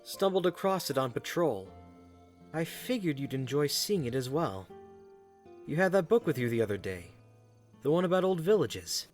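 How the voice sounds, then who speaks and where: A man playing a loyal, gently teasing young knight in a fantasy audio drama, courteous and understated. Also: a little high, sing-song, moderate pace, soft background music. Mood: pleased. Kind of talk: fan audio drama acting.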